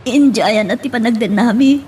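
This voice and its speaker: A woman with an Ilocano Filipino accent playing a troubled, remorseful married woman in an audio melodrama, halting and pleading. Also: on the high side, darkish tone, slightly rough. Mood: troubled, tearful. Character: distressed pregnant married woman, remorseful, confessing an affair. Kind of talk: Ilocano radio-style melodrama acting.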